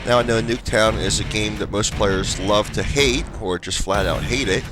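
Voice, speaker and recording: A man's voice: on the low side, moderate pace, over music. A stuffed-up young man who hosts a gaming channel, chatting casually with fillers as he comments over gameplay. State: stuffed up, congested. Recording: game gunfire noise behind.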